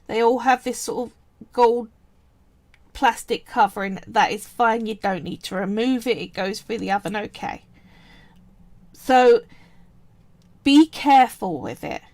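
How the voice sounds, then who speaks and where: A woman's voice: a little high, a little mellow, dry recording. A woman casually talking viewers through a polymer clay sculpting project on a live stream, chatty and explanatory. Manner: chatty and explanatory, often pausing to say "right" and "so".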